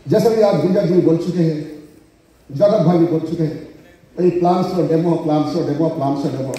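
A man's voice: a little high, fairly quick, dark tone, a little hiss or hum. A middle-aged man with an Odia accent gives a rousing motivational stage speech, mixing Hindi and English and calling for applause. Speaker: motivational speaker at a business seminar. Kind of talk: stage speech to a large audience. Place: large auditorium stage. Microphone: handheld mic heard from far off. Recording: echoey hall, distant mic, crowd behind.

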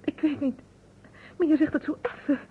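A woman's voice: high-pitched, steady tone, moderate pace, slight background noise, close to an everyday voice. An actress playing an emotional, reproachful woman in distress in a radio detective drama. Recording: muffled, narrow old radio recording.

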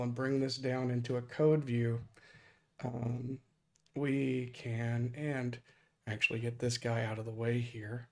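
A hoarse man recovering from illness, casually walking through a live hardware demo at his desk with lots of fillers. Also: medium pitch, a little mellow. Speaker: open-source hardware project lead. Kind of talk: casual screen-recorded tech demo. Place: home desk.

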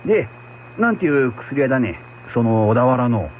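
An actor playing a good-natured, rough-spoken rustic packhorse driver in a radio drama. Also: male voice, medium pitch, very animated, moderate pace, lightly acted. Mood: amiable, pondering. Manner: rough, folksy, colloquial. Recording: muffled radio recording with hum, faint noise.

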